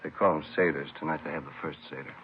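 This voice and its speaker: A man acting a calm, matter-of-fact policeman briefing colleagues, understated, in old radio crime drama style. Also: rumbling low, fast. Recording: tinny, hissy vintage radio recording.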